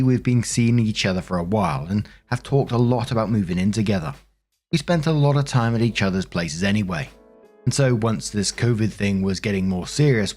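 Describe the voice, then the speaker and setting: Male narrator, lowish voice, chatty story-reading host with casual asides, music underneath. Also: darkish tone. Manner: chatty, conversational. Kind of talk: reading online stories aloud with casual commentary.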